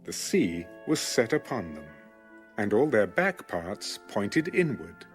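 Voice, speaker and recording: A man delivers a scripted scripture reading. His phrasing is measured and formal, yet the pitch moves expressively. Faint music plays behind him. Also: big pitch swings. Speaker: Bible audiobook narrator.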